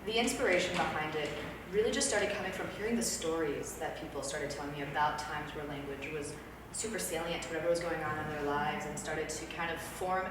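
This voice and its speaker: A woman guest answering interview questions about her project in an earnest, reflective manner, recorded from a distance in a room. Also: deep voice. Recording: echoey room from far off, noisy.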